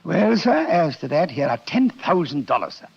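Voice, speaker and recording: An actor playing a genteel, wordy older crime boss in a 1940s radio drama, courtly and jovially menacing. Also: male voice, on the high side, big pitch swings, normal speed, creaky, slightly rough, full character voice. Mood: smooth, bargaining. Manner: florid, courtly, chuckling politeness. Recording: muffled 1940s radio broadcast.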